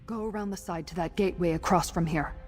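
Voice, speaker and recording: Low-voiced bold warrior woman played by an actress. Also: quick pace, a little mellow, big-room sound, faint music behind, close to an everyday voice. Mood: brisk, commanding. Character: bold battle-ready woman warrior comrade, wry and tactical. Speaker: companion character in a fantasy game. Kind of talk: acted fantasy game dialogue.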